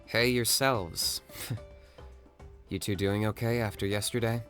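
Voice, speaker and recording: A man's voice: lowish voice, fairly even intonation, a little slow, a bit bright, faint music behind. A man voicing a stoic young warrior who answers in a dry, terse way. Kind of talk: game cutscene voice acting.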